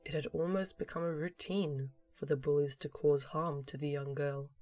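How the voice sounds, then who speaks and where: A narrator reads scripted story prose for an amateur audio drama. The voice is medium in pitch, with quiet music behind it. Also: steady tone, moderate pace.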